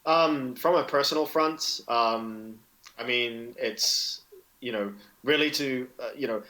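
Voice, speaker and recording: A man's voice: medium pitch, takes it slowly, pushed and bright, low-quality recording. A man who grew up in Indonesia, speaking as a fintech executive answering interview questions in a loose, conversational way, tagging sentences with "right?" and "you know". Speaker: fintech product executive, guest.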